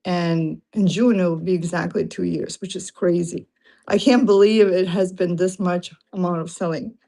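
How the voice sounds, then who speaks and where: A woman's voice: lowish voice, steady tone, normal speed, vocal fry, mellow, rough recording. A woman from Lithuania with a Lithuanian accent, hosting a casual late-night livestream from home, greeting viewers and laughing often as she talks. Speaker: livestream seller host. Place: home room, sitting on carpet.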